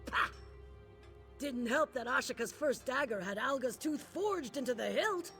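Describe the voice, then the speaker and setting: A woman's voice: sits high, expressive, a little slow, fairly bright tone, faint music behind, exaggerated voice. A woman voicing a tough, boastful orc blacksmith in a video game, blunt and scornful. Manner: blunt, swaggering. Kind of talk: video game character dialogue.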